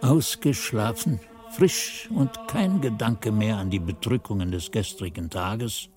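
An actor playing a reflective monk who narrates memories of his youth in a radio drama, measured and contemplative. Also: on the low side, darkish tone, faint music behind, close to an everyday voice.

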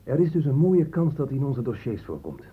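An actor playing a gruff, hard-line police inspector in a radio drama, blunt and sarcastic, with emphatic delivery. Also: male voice, medium pitch, very animated, fairly quick, narrow, tinny audio. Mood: businesslike.

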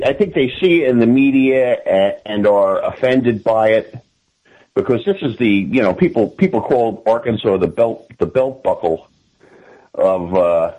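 An American man with a lowish, classic radio announcer sort of voice. He talks as a guest in a political interview, in a halting way, circling back to repeat the start of his phrases. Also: muffled recording.

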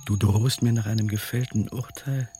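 An actor playing the high priest Kaiphas, stern and defiant, who speaks in a measured, dignified way in a radio drama. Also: male voice, fairly even intonation, lightly acted. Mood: indignant. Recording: radio play with music underneath.

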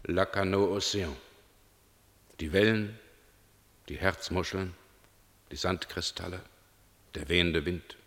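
A dreamy inner voice in a radio drama, low-pitched and washed in long reverb. It recites poetic images like a slow litany of fragments. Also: male voice, fairly even intonation, fairly bright tone, exaggerated voice. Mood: dreamy, solemn. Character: dreamy male inner voice listing seaside images. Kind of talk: poetic radio play monologue.

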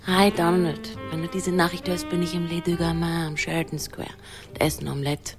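A woman's voice: on the low side, little pitch movement, brisk, over music, natural voice. An actress playing a weary, wry girlfriend leaving a phone message in a radio play. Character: weary, wry girlfriend in long-distance romance.

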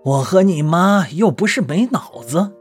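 Older father: colloquial, bantering, warm-hearted under the gruffness, radio-drama delivery with light music behind. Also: high voice, lively intonation, measured pace, heavily acted. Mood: probing. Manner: folksy, bantering, plain-spoken. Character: gruff but loving, accepting older father. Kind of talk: audio drama acting, colloquial.

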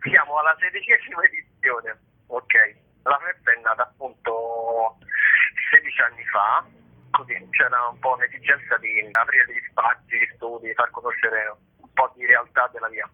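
A man's voice: mid-range voice, fairly even intonation, moderate pace, slightly rough. A man being interviewed as a festival organiser, explaining things in a casual, hesitant, informal way. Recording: narrow tinny telephone line.

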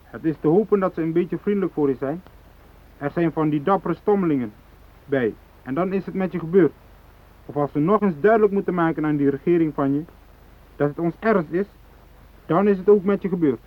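High-voiced militant hijacker played by a man, thin sound. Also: brisk, natural voice. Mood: hard, threatening. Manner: declarative, threatening, ideological speechmaking. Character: fanatical South Moluccan hijacker, unyielding, cold, righteous militant. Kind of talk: radio drama acting. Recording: thin phone-like radio drama recording.

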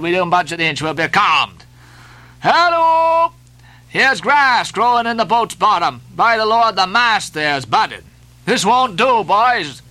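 A man's voice: high voice, bright, forceful tone, dry recording, pitched above the performer's own voice, heavily acted, rough recording. A man voicing a boisterous whaling mate from a storybook, goading his rowers with jovial fury in bellowed, cajoling exclamations. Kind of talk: storybook character voice in a novel reading.

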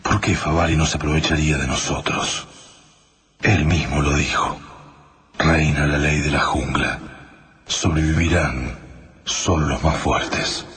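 A man's voice: a bass voice, steady tone, measured pace, long pauses, fairly bright tone. A man voices a grim, brooding inner voice in a radio drama.

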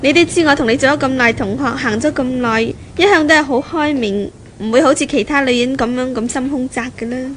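High-voiced proud young fiancée. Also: female voice, fairly quick, hardly any pauses, a bit bright. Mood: probing, defensive. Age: young adult. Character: young female graduate, proud, coolly probing fiancée. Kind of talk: 1970s Cantonese radio drama acting. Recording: noisy 1970s radio drama recording.

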